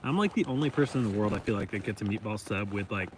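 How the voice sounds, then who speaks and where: Man, low pitch, rambling casual vlog talk, distant mic, heavy outdoor noise. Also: expressive, fast talker. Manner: rambling, many ums and likes. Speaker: vlogger, trail hiker. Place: outdoors on a hiking trip.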